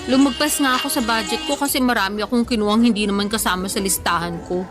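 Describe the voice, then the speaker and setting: A woman playing a sensitive, stubborn young food lover in a Filipino radio drama, whiny and expressive, with a Filipino accent. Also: medium pitch, fast talker, close to an everyday voice. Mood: playful, defensive. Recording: music bed under the dialogue.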